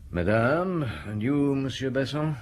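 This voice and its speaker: An actor playing a blunt, probing middle-aged police inspector in a radio drama. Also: male voice, mid-range voice, animated, measured pace, a little hiss or hum, lightly acted. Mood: stern.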